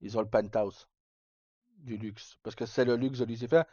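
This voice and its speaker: A man's voice: mid-range voice, steady tone, moderate pace, darkish tone, dry recording, dull audio. A man hosting a livestream, chatting informally and casually with some mild swearing. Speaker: livestream host. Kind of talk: casual chat.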